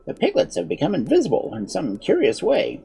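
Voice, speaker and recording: A narrator acts out a courteous male wizard from a children's tale. He sounds husky and careful, with lively rises and falls as he explains himself. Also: medium pitch, a little fast, voice pushed up, full character voice. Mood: puzzled. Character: puzzled, polite, cautious male wizard in a fairy tale.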